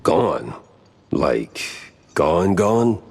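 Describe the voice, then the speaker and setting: An actor playing a world-weary, wisecracking mouse private detective in a noir video game, gruff, gravelly and deadpan. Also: male voice, low voice, very slow, heavily acted. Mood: sardonic. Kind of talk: noir game cutscene acting.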